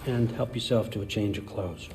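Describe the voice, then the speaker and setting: A man's voice: fairly low pitch, moderate pace, heavy background noise, close to an everyday voice. An older man playing a scheming, folksy, testy Allfather god in a video game, with casual, domineering patter. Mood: folksy, persuasive. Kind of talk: video game cutscene acting. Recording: long hall reverb under clear music.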